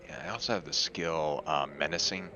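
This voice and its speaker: A man playing his character in a tabletop game, eager and quick to laugh. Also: very deep voice, background noise. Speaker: player. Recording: dull lo-fi mic.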